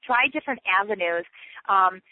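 A woman coaching her sales team over a tinny phone line, and the sound is flat and dry with no room around it. She talks in an emphatic, chatty way and drives each point home by repeating it. Her voice sits at an ordinary middle pitch. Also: moderate pace, no echo. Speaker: direct-sales team leader. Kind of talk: motivational sales training talk. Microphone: phone call.

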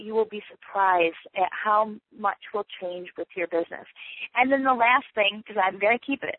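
A woman's voice: medium pitch, no echo. A woman leading a motivational sales training talk for her team, chatty and emphatic, often repeating her points. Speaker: direct-sales team leader. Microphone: phone call. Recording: tinny dry phone line.

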